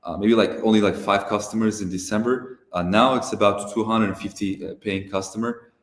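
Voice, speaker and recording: A young man from Turkey chats as a guest on a live stream, relaxed and unhurried, filling pauses with "uh". His voice sits very low. The video-call audio carries a noticeable room echo. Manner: relaxed, hesitant, many "uh" fillers. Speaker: guest, software founder. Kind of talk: casual interview over a live stream.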